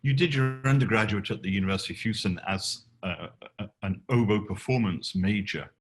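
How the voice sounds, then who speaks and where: A man with a fairly low voice hosts an arts interview. His questions are measured and conversational, and he sometimes doubles the first words of a phrase as he forms them. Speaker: podcast host, interviewer.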